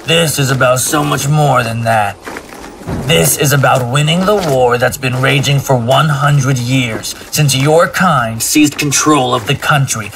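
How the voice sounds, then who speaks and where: A man's voice: mid-range voice, natural voice. Stern military captain played by a man, noisy backdrop. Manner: clipped, authoritative. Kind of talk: audio drama acting. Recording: noisy background ambience.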